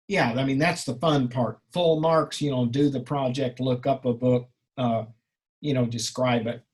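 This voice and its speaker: Husky-voiced, halting male instructor. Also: mid-range voice. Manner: halting, rambling delivery; encouraging, reassuring. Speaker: college instructor. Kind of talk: informal class question-and-answer.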